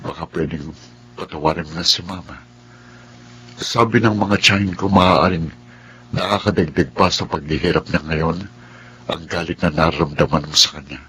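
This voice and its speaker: Pleading estranged husband, Filipino accent, very deep bright voice, solemn, faint hum, radio drama. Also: male voice, moderate pace, pauses often, poor audio. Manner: solemn, imploring. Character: pleading estranged husband, worried for his ailing mother.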